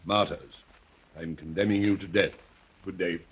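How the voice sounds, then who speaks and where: An actor playing a mysterious police chief, terse, solemn and commanding, in 1930s radio drama style. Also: male voice, low voice, fairly even intonation, moderate pace, very noisy, close to an everyday voice. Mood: grave, curt. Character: curt, grave, mysterious male police chief. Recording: muffled vintage radio recording.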